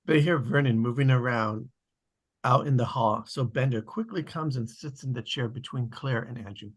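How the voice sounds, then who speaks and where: A man's voice: medium pitch, normal speed, husky. A man reading stage directions as scripted narration for a table read.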